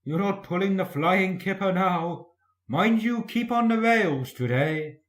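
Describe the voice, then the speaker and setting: A man's voice: sits high, expressive, a little slow, echoey room, pitched above the performer's own voice. A man voicing a pompous, huffy big male steam engine for a storybook, spluttering with indignation. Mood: condescending.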